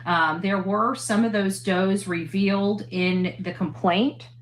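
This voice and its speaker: A woman's voice: medium pitch, fairly even intonation, takes it slowly. A woman speaking in a casual vlog-style monologue as a livestreamer. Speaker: livestreamer heard in played clips.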